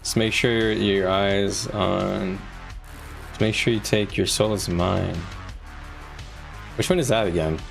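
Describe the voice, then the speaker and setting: A man's voice: lowish voice, fairly even intonation, slow pace, creaky, pauses often. A man talking casually to viewers on a live gaming stream, explaining things in an informal, conversational way. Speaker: game livestreamer. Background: music.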